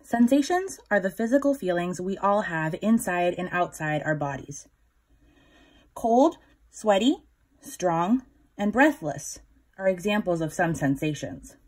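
A woman's voice: lowish voice, expressive, a little mellow. Woman reading a picture book to children. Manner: instructive, pausing to prompt listeners with questions. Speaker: children's story time reader.

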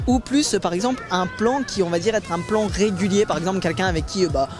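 A man's voice: sits high, fast, music in the background. A young man interviewing passers-by on a busy city sidewalk with a handheld mic, asking long rambling questions with many fillers. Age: young adult. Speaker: street interviewer. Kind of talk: street vox-pop interview.

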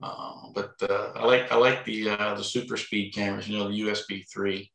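An older man with a low, gravelly voice chats casually about his astronomy gear. He speaks in an echoey room over a video call. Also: level tone, moderate pace, a bit bright. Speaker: amateur astrophotographer.

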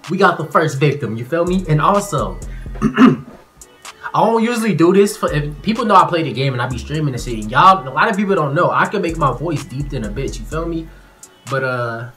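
A man's voice: a little high, animated, brisk, bright, forceful tone, faint music behind. Young man, casual slangy vlogger. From Chicago, United States. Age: 20s. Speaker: YouTube prankster and host. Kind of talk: casual slangy chat to viewers. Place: small plain room indoors.

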